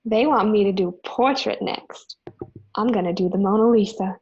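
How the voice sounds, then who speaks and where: A woman's voice: mid-range voice, moderate pace, a little mellow, husky. A woman acting a doting, chatty elderly mother in an amateur radio play. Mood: eager, fussing. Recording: dull lo-fi home recording.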